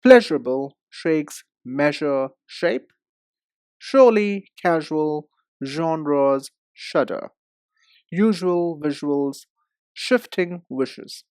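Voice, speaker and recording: A male English teacher with an Indian accent speaks slowly and deliberately. He enunciates each sound with care, as in a repeat-after-me drill. The sound is dry and close, with little room to it. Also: fairly high pitch, lively intonation, darkish tone, smooth, no room sound.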